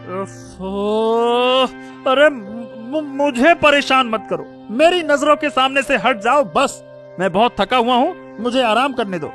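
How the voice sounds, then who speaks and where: A man's voice: very high voice, slow, fairly bright tone, faint music behind, heavily acted. A man voicing a greedy, heartless, domineering elder brother in a cartoon story, with a scolding, dismissive manner. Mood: harsh scolding. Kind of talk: Hindi moral-story cartoon dubbing.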